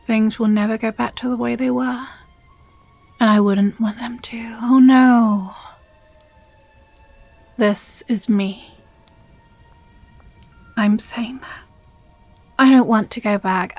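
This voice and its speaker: A woman playing a possessive, jealous demon girlfriend in an intimate audio roleplay, breathy and often whispering, with condescending sweetness. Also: a little high, a little mellow, no room sound, heavily acted. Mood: resolute, yearning. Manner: slow, deliberate, sighing, condescendingly sweet.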